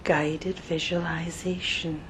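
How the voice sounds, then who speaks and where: A woman narrating a scripted guided meditation in a soothing, measured manner, pausing between short phrases, with soft breathy phrase endings. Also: level tone. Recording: noticeable low rumbling background noise.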